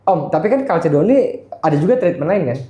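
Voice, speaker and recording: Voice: medium pitch, expressive, fast talker, dark tone. A podcast host chatting casually, inquisitive and often checking for agreement, recorded in a roomy space. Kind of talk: casual interview chat. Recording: roomy, echoey sound.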